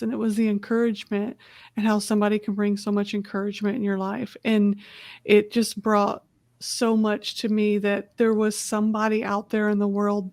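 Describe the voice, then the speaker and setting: A woman's voice: mid-range voice, creaky, a little mellow, no room sound, clean studio sound. A woman author on a panel, earnestly reflecting on a book that shaped her childhood. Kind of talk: panel discussion answer.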